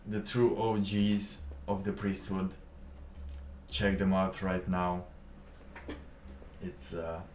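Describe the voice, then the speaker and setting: Low, slow-talking man rambling on stream. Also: a little mellow, a little hiss or hum. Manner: rambling, halting, many fillers and restarts. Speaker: live streamer. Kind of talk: casual live stream chat. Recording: roomy, distant mic with faint ambience.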